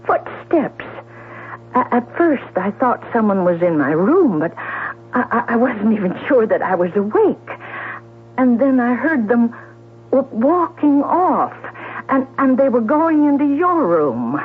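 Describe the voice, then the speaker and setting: A woman's voice: on the high side, sing-song, measured pace, husky, full character voice. An actress playing a frightened, self-doubting woman in a radio drama, hesitating, stammering and repeating herself. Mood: frightened, shaken. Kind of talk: 1970s radio drama acting. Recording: noisy, telephone-like old radio broadcast, hum.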